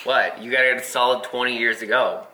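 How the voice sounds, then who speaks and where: A man in his thirties telling stories in a joking, animated way in casual chat. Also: medium pitch, normal speed. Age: 30s. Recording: roomy echo.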